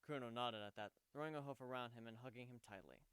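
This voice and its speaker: Guy narrating a story dramatically, crisp voice, no room sound at all. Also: medium pitch, fast, a bit bright, dry recording. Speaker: narrator of fan fiction readings.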